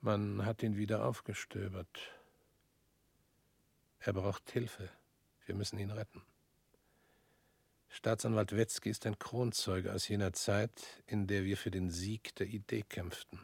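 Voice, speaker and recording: An actor in a radio play playing a weary, guilt-laden former party official who talks in a measured, brooding way and often drops to a whisper. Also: male voice, lowish voice, level tone, vocal fry, darkish tone, slightly rough, dry recording, heavily acted.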